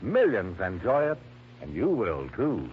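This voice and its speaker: Lively-pitched vintage radio announcer, male. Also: measured pace. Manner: polished, emphatic sales delivery. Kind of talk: 1950s radio sponsor announcing, scripted. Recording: dull vintage radio recording, hum.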